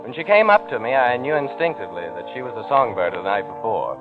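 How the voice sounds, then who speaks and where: A man's voice: medium pitch, lively intonation, fast, over music, natural voice. An actor playing a brooding, wry man in a vintage radio suspense drama, with muffled old sound. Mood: brooding, tense. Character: brooding, sardonic man, haunted narrator of suspense tale. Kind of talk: 1940s radio acting, first-person narration.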